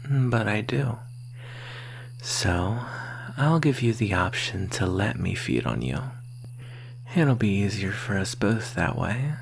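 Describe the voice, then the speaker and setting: A woman acts out a thirsty vampire in an ASMR roleplay, whispering in a low, breathy voice. The delivery is extremely slow, teasing and intimate, with menace under the flirtation. It is recorded close to the mic over a faint hiss. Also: exaggerated voice. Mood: coaxing. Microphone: close microphone. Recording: faint steady hiss and hum.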